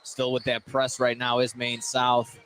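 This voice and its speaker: A male radio sportscaster with a mid-range voice calls a basketball game live. He doubles back on phrases while tracking the play. Clear gym noise and ball bounces sit behind him. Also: mid-range voice, monotone, unhurried. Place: indoor gymnasium during a basketball game. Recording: noisy live gym broadcast. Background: loud gym ambience, bouncing basketball.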